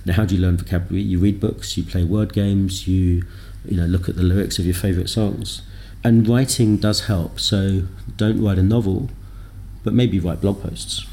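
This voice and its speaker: Podcast guest: deep, gravelly man, mellow and thoughtful, wry self-deprecating asides. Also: fairly even intonation, moderate pace. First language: English. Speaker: podcast guest, software developer. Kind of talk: conversational interview.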